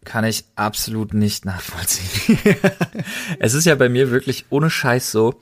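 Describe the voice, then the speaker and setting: A man's voice: mid-range voice, sing-song, a little slow. A young man co-hosting a casual podcast, speaking in slang and repeating words for emphasis. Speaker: podcast co-host.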